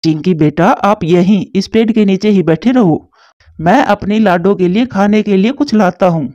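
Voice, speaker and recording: A woman's voice: medium pitch, normal speed, warm tone, no room sound, highs cut off, close to an everyday voice. A woman voicing a doting, fretful father pigeon in a children's cartoon. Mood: tender.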